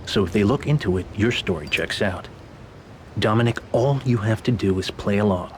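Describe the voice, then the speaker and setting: A man playing a calculating middle-aged American CIA agent in a video game, speaking in a measured, persuasive, matter-of-fact way. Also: on the low side, a little mellow, slight background noise, lightly acted. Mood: confident scheming. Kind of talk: cinematic video game acting.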